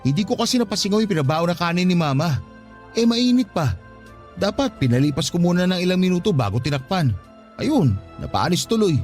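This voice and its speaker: A man's voice: sits high, big pitch swings, fairly quick, a little mellow. A Filipino actor playing an earnest, pleading young father in a Tagalog radio drama, speaking in a coaxing, conversational way. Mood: casual, concerned. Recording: background music under the dialogue.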